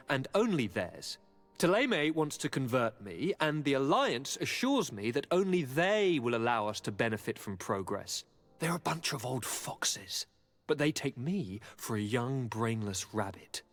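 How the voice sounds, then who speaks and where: An actor playing a young noble governor in a video game, sickly and restless, with a theatrical, courtly and expressive delivery. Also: male voice, mid-range voice, natural voice. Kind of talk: video game cutscene dialogue.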